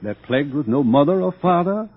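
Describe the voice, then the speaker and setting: A dull, lo-fi radio drama recording carries an urbane, powerful older ruler. His husky voice swings widely in pitch. He lectures in a pompous, condescending way, entirely sure of himself. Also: lively intonation, brisk. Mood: amused, condescending. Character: urbane, smug, powerful older male world controller. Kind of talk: theatrical radio drama acting.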